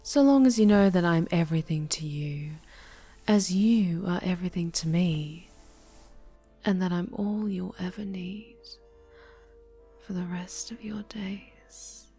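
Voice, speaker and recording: A woman's voice: lowish voice, unhurried, slightly rough, faint music behind. A woman playing an obsessive winged superheroine captor in an ASMR roleplay, murmuring with doting, condescending tenderness and slipping into whispers. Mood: sweetly possessive. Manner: soft, cooing, condescending tenderness, drifting into whispers.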